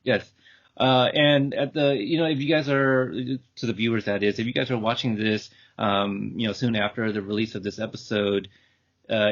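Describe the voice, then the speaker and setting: A man hosting a podcast, talking casually and off the cuff in a halting, rambling way. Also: fairly low pitch. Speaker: podcast host. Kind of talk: casual, unscripted show wrap-up. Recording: dull, lo-fi call audio.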